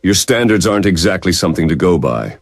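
Very deep, husky fantasy hero voiced by a man. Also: very animated, fast talker, no room sound, faint music behind, heavily acted. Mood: teasing. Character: male fantasy hero, steady, caring, protective lover. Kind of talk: intimate video game dialogue acting.

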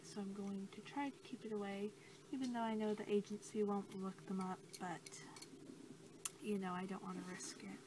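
Soft, flat-toned young woman doing ASMR. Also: medium pitch. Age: young adult. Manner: gentle, halting delivery that drops into whispers. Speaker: ASMR creator role-playing a creature examiner. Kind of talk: ASMR role-play, soft-spoken. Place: small cluttered room indoors. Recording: noisy amateur home recording.

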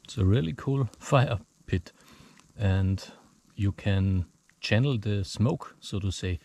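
A man's voice: fairly low pitch, slow, vocal fry, darkish tone, slight background noise. German-accented man explaining outdoors. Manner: explains step by step, plain simple phrasing. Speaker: bushcraft instructor. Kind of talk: outdoor demonstration vlog. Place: outdoors in a forest.

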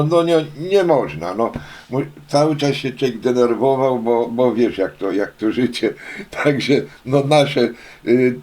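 A man's voice: mid-range voice, darkish tone. An older man from Poland rambling casually and folksily as an amateur radio operator, often repeating himself with filler phrases. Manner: folksy, chatty, frequent filler phrases and repetitions. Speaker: amateur radio operator, hobby video maker.

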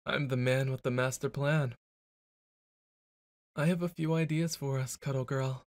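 A young man talks slowly and deliberately in an intimate boyfriend roleplay. He is brash and teasing, then stumbles into flustered little stammers. His voice sits at an ordinary middle pitch. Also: fairly even intonation, no echo.

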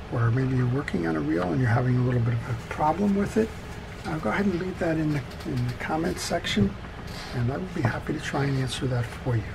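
Man, casual how-to instruction, methodical, crackly vocal fry, noticeable steady noise behind the voice. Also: on the high side. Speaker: fishing reel repairer presenting a tutorial. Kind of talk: hands-on instructional demonstration, casual step-by-step talk. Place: indoors at a wooden workbench.

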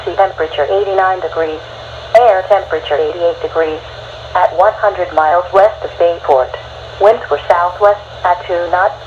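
An American woman's synthetic text-to-speech voice reading a marine weather report in a formal, even recitation. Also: fairly low pitch, normal speed. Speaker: automated weather radio announcer. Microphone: phone held to a radio speaker. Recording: dull radio broadcast re-recorded by phone, faint noise.

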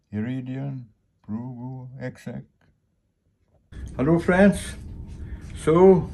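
A man in his eighties from Sweden, a solo sailor explaining his boatbuilding in a casual, halting, plain-spoken vlog in his workshop. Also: mid-range voice, warm tone, slight background noise. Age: 80s. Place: large indoor boat workshop.

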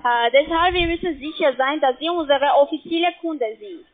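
A woman who is a non-native speaker with a foreign accent, making a halting telephone sales pitch in broken grammar on a phone line. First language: not German. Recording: tinny, muffled phone line.

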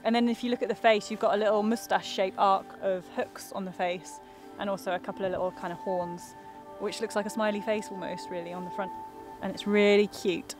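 A woman's voice: mid-range voice, steady tone, brisk, over music. A young woman explaining conservation fieldwork outdoors in a pine forest, chatty and enthusiastic, on a lapel mic. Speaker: conservation project officer. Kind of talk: casual explanation on location.

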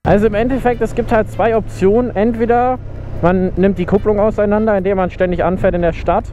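A man's voice: high voice, steady tone, brisk, mellow, soft background music. A young man in casual, slangy commentary as he rides a motorcycle through city traffic, muttering in exasperation. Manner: chatty, slangy, exasperated muttering while riding. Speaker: motovlogger. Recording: road and engine noise behind. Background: traffic and engine noise.

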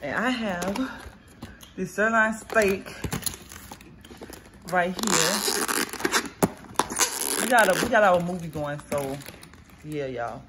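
A woman's voice: lowish voice, animated, slow pace, long pauses. A young woman, chatting to viewers in a casual phone vlog about her food and her day. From Florida, US. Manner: chatty, talks straight to viewers as y'all. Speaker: vlogger. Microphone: phone camera held at arm's length. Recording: noisy phone audio.

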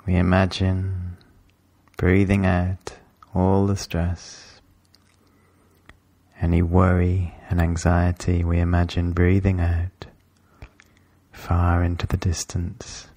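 Very slow, low-pitched male meditation guide. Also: no echo. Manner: calm, measured, instructional with long pauses.

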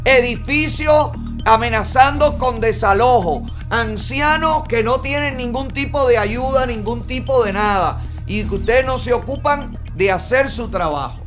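High-voiced Cuban man, indignant commentary. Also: measured pace, fairly bright tone, soft background music. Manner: indignant, rhetorical questions, clipped words. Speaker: host. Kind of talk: opinion commentary. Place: studio with yellow backdrop.

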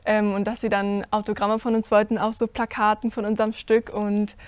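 A woman's voice: medium pitch, monotone, fairly quick, no echo. A young woman, an actor in a musical theatre piece, gives reflective interview answers about her memories, seated on a cinema stage. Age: young adult. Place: cinema auditorium stage before a curtain.

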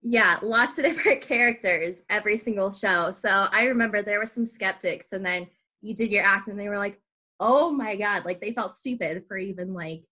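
A woman's voice: medium pitch, moderate pace, sharp tone. A woman in her twenties hosting a casual interview over a video call, speaking in a gushing, enthusiastic way. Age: 20s. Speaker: podcast host.

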